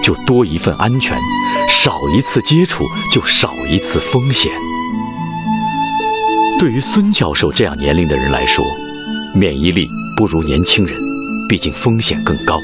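A male narrator delivers scripted drama narration, solemn and measured. His voice is on the low side with very wide swings of pitch, over prominent violin music. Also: very animated.

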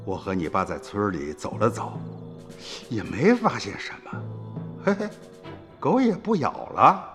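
An actor playing an earthy, worried old farmer in a radio drama, telling his story in a folksy, expressive way. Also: lowish voice, measured pace, music in the background. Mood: reflective, uneasy. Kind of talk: radio drama narration and acting.

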